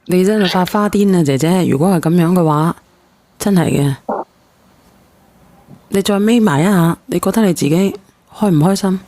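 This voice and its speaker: A woman's voice: fairly low pitch, moderate pace, pauses often, warm tone. A woman hosting an emotional phone-in show in speech, probing and exclaiming, repeating herself insistently. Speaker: call-in show host. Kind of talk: emotional radio phone-in talk.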